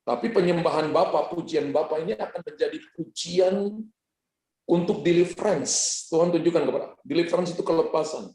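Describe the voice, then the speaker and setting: A man ministering in earnest prayer over a video call, slowing down to stress key words. His voice sits somewhat high, with a roomy echo around it. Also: a little mellow. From Ambon. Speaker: guest pastor.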